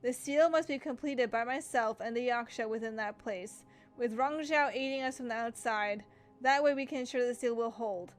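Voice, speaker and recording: A woman's voice: a little high, moderate pace, faint music behind. A woman gaming streamer reading game text aloud during a playthrough, casually stumbling over words and breaking off to comment.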